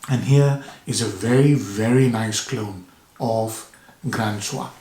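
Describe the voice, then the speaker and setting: A man with a cold, hoarse and with a blocked nose, reviewing a fragrance to camera with enthusiasm, repeating words and pausing for breath. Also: lowish voice, warm tone. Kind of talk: casual product review to camera. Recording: echoey room.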